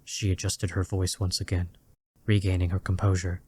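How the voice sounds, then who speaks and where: A deep male narrator reads a dramatic fantasy story from a script. His delivery is deliberate, with weighty pauses between phrases. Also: flat delivery, darkish tone, slightly rough.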